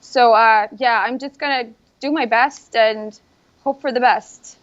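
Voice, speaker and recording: Soft-spoken Icelandic woman, lo-fi. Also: a little high, steady tone, measured pace. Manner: soft-spoken, modest, giggles between answers. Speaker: bikini bodybuilding competitor. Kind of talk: casual interview answers. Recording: dull lo-fi sound.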